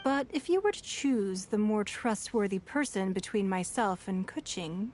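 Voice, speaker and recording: An actress playing a poised, shrewd noblewoman leader in a video game, speaking formally and with measured, cool composure. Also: mid-range voice, expressive, darkish tone, lightly acted. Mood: gracious, teasing. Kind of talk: video game story dialogue acting.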